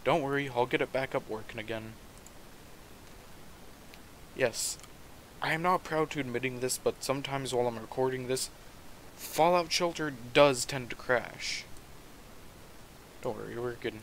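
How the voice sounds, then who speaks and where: A man casually commenting on his own gameplay at home, talking quietly and rambling, with the game's sound underneath. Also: medium pitch, a little slow, long pauses, slight background noise, rough recording. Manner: soft-spoken, rambling. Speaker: gaming channel host.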